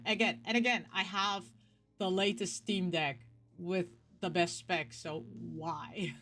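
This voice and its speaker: A middle-aged woman with an average-pitched voice talks as a casual vlog host. She rambles in an animated, chatty way, drifting into asides and questioning herself as she goes. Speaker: video game collecting show host. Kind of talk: casual rambling vlog chat about game pick-ups.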